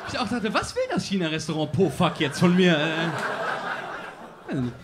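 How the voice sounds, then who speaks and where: A man's voice: high-pitched, sing-song, normal speed. A young man in his twenties hosting a comedy show, chatting loosely on a small stage into a handheld mic. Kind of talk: stand-up host banter. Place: small laundromat stage before a live audience. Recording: live audience crowd noise. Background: crowd laughter.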